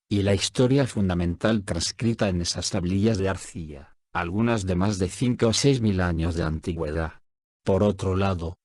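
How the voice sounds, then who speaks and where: A man reading both the questions and the answers of an interview aloud in a measured, even voice-over. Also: low-pitched, no room sound, clean studio sound. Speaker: voice-over reader of a written interview.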